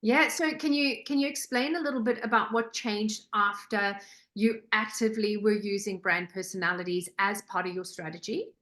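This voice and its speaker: A woman's voice: mid-range voice, normal speed, a bit bright, roomy sound. A woman hosting an online group call, encouraging and praising her guest over a video call. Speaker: host, program leader.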